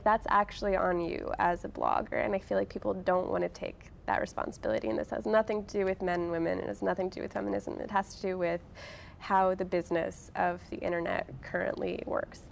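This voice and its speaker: A woman's voice: mid-range voice, a little fast, gravelly, slight background noise. A woman answering as an interview guest in an informal, conversational way, often breaking off and rephrasing. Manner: informal, frequent "like" and self-interruptions.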